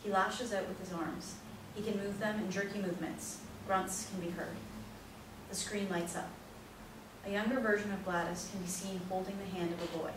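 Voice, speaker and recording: A woman's voice: mid-range voice, fairly even intonation, normal speed. A woman narrator reading out the stage directions of a play as scripted narration. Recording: very echoey, distant, noisy room recording.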